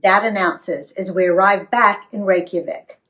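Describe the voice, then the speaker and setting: A woman reading a story aloud for young listeners in a dramatic, emphatic, clearly phrased way. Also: medium pitch, a little slow. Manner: dramatic, animated delivery with emphatic dialogue.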